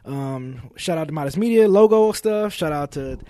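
Lively, high-pitched man hosting a gaming podcast. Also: normal speed, no room sound. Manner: slangy, jokey, repeats phrases. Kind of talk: loose casual group chat. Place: podcast studio.

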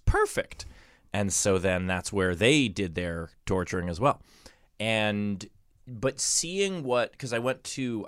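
A male podcast host with a low, clean and resonant voice, recorded dry in a studio. He talks in a casual, conversational way, often restarting a thought while he works out how to explain it. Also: dry recording.

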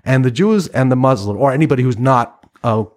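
A middle-aged man from Iran, a writer and interview guest, speaking reflectively in a casual conversation with frequent restarts and soft laughs. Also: medium pitch, brisk.

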